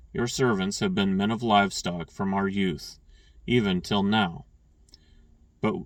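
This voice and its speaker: A man reading scripture aloud, stumbling and restarting on names and clearing his throat now and then. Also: on the low side, no echo, recorded from a distance. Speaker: scripture reader.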